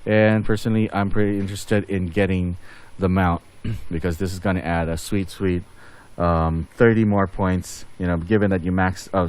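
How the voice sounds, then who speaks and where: A man's voice: sits low, darkish tone, smooth. A man giving casual game update commentary as a channel host, rambling and thinking aloud as he goes.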